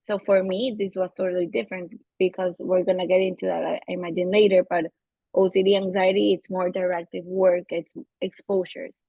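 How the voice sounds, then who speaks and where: A woman's voice: fairly low pitch, flat delivery, fairly quick, gravelly, dry recording. Brief: young Venezuelan woman, native Spanish, searches for words, thoughtful, dry and slightly muffled recording. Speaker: guest therapist. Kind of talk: casual interview. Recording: slightly dull lo-fi audio.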